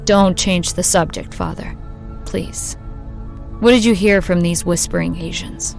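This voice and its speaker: A suspicious, sardonic woman pressing for answers. Her voice is lowish, slow and full of fry, in a radio drama. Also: fairly even intonation, a little mellow, soft background music. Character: suspicious, insistent, sardonic woman questioning a priest.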